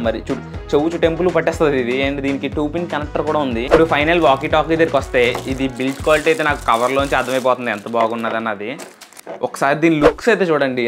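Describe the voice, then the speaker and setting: A man chatting casually and explaining things as he hosts an unboxing and product demo. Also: mid-range voice. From India. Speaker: video host. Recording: loud music behind the voice. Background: loud music.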